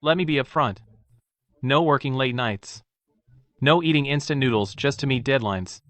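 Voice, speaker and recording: A man's voice: medium pitch, normal speed, a bit bright, no room sound, natural voice. A man playing a protective, bossy company president in a romance audio drama, speaking firmly. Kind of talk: dubbed romance drama acting.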